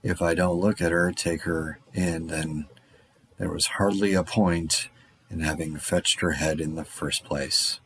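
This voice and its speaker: A man's voice: low-pitched, steady tone, measured pace, fairly bright tone, breathy edge, no room sound, full character voice. An actor playing a lone man surviving a zombie apocalypse, talking in a rambling, darkly wry monologue for an audio drama. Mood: musing.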